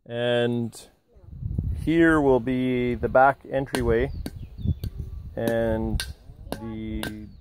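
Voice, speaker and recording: Give me a middle-aged man rambling through an outdoor house-frame tour on a handheld camera, mid-pitched, with faint noise behind him. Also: fairly even intonation, drawn-out words, long pauses. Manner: rambling, with many fillers and restarts. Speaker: vlogger touring his house build. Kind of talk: casual walkthrough narration. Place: outdoors on an open timber house frame in the countryside.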